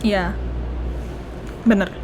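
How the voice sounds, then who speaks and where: A woman with an Indonesian accent giving casual, excitable reaction commentary as she plays a game, often repeating her phrases. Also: drawn-out words, noisy background. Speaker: game streamer. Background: game music.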